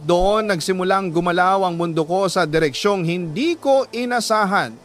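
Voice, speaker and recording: A man with a Filipino accent reading a scripted dramatic story in an earnest, sentimental, measured way. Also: sits high. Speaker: radio drama narrator reading a listener's letter. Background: background music.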